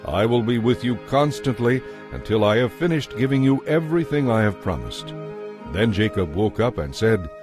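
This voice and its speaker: A man narrating scripture as a dramatized story, in an expressive, measured way. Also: fairly low pitch, music in the background.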